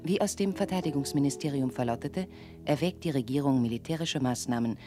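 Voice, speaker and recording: A woman's voice: extremely low pitch, fairly quick, music in the background. A woman playing an official state news announcer, reading a formal, impersonal bulletin in a radio drama. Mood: formal, grave.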